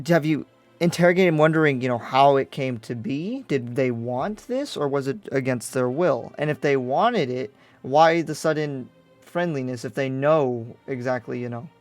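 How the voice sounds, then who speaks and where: A man playing an easygoing but wary demon slayer in an improvised roleplay, reasoning aloud in a casual, halting way. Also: medium pitch.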